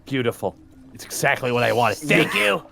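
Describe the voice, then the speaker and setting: Male RPG player, sharp and gravelly, sardonic, lively swings in casual banter. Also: mid-range voice, moderate pace. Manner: sardonic, flippant. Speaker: player in a tabletop game.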